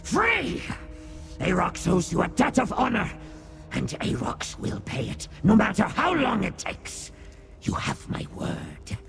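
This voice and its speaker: A man voicing a tiny boastful demon rat in a video game, speaking in pompous, theatrical, archaic phrases with a gravelly rasp. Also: high-pitched, huge pitch swings, slow pace, heavily acted. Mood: grateful, exultant. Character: tiny boastful demon rat, grandiose self-styled terror. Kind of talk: video game character acting. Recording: game music behind the voice.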